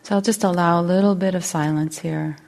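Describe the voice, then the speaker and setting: A woman leads a guided meditation as a teacher, speaking gently and unhurriedly with long pauses. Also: on the low side, fairly even intonation, gravelly.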